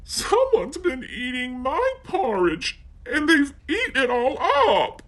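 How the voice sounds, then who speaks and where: Teeny tiny high baby bear from a storybook, played by a narrator; squeaky, wailing, bouncy pitch. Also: wildly swooping pitch, takes it slowly, fairly bright tone, exaggerated voice. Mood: upset. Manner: tiny, crying.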